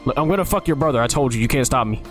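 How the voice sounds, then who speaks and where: Man, casual gameplay chat, swears a lot, medium pitch, quiet music behind. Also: expressive, very fast. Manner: casual, joking, swears often. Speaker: gaming video creator. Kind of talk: playing and reading a dating game aloud.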